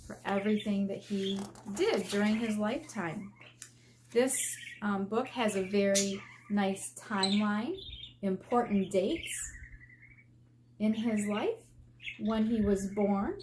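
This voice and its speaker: A woman's voice: medium pitch, background noise. An American woman, an elementary school teacher, reading aloud to children and adding simple explanations as she goes.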